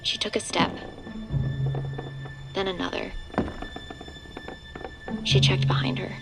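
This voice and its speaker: A woman's voice: mid-range voice, steady tone, slow pace, creaky. A woman reading scripted narration for a horror audio drama. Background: background music.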